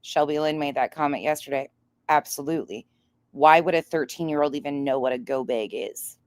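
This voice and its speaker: A woman hosts a livestream with casual, incredulous commentary. Her voice is very low for a woman and dryly recorded, and she speaks bluntly with plenty of swearing. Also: a little fast, no room sound, studio quality. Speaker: livestream host.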